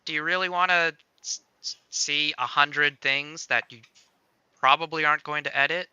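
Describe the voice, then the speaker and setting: Bright, clear-voiced man giving a casual tutorial. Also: fairly high pitch, steady tone, moderate pace, no room sound, lo-fi sound. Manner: thinks aloud step by step, playful. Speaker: livestream host demonstrating game-making software. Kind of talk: casual software tutorial on a livestream.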